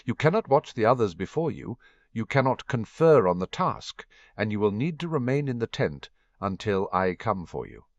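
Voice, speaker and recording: A man voicing a falsely cheerful middle-aged male official who announces rules in a brisk tone. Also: fairly low pitch, sing-song, no room sound, voice pushed up. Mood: announcing. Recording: dull lo-fi dry recording.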